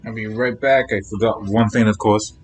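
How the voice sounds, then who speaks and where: A man's voice: on the low side, fairly even intonation, normal speed, a little hiss or hum. A man chatting casually on a live game stream, rambling to himself as he eats. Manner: rambling, talking to himself while eating.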